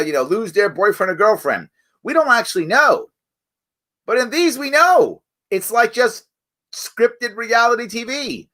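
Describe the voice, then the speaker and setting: A man hosting a live stream, talking casually and reading viewer comments, his voice raspy and a bit hoarse from weeks of campaigning. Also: fairly high pitch. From New York State. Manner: conversational, animated, frequent fillers and restarts. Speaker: show host.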